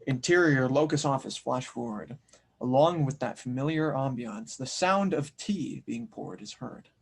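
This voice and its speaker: A man's voice: mid-range voice, expressive, a little slow, creaky, husky. A man reading scripted narration and stage directions as the narrator of an audio drama table read.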